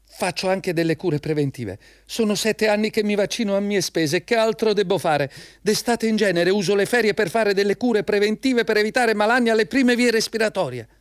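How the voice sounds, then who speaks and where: Radio play: anxious male employee, played by a man, earnest and self-justifying, high-pitched voice, dry close recording. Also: a little fast, clear, resonant tone, dry recording, natural voice. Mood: dutiful. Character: anxious, dutiful male employee defending himself at interview. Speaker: actor in a radio drama. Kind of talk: Italian radio play acting, dialogue.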